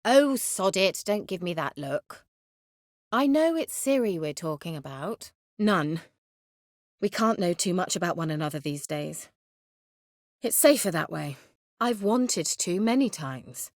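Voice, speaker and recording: A woman's voice: fairly low pitch, animated, unhurried, dry recording, clean studio sound. A woman playing a haughty, sly sorceress in a fantasy video game, articulate, wry and teasing. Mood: guarded, serious.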